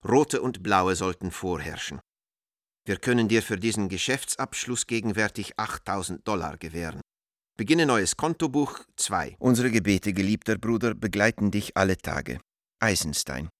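Art dealer reading his letters in a radio drama, low voice, measured dictation, really dry sound. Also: lively intonation, fairly bright tone, no echo. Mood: controlled, insistent.

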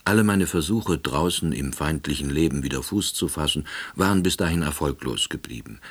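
Jobless homebody narrating a radio drama, really deep voice, bit rough, dry and ruminating. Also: male voice, lively intonation, brisk, a bit bright, heavily acted. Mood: brooding, resigned. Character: withdrawn, long-unemployed East Berlin househusband, quiet ruminator. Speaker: narrator and lead of radio play.

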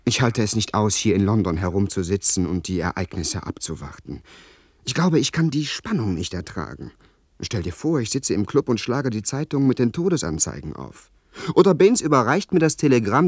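An actor in a German radio play playing a highly strung, scheming young English gentleman with theatrical, well-spoken delivery. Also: big pitch swings, moderate pace, dry recording, studio quality. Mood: anxious.

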